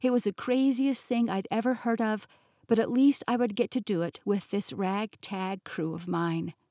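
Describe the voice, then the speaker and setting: A woman narrating a first-person fantasy audiobook in a clear, lightly wry storytelling manner, close to the mic. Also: mid-range voice, fairly even intonation, moderate pace, mellow, dry recording.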